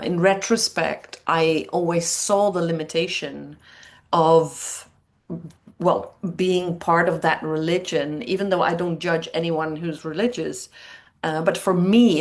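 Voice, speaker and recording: A woman in her fifties with a Dutch accent, a native Dutch speaker, giving reflective interview answers as a coach, often pausing between phrases. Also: low voice, fairly even intonation. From Netherlands. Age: 50s. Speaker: interview guest, training coach.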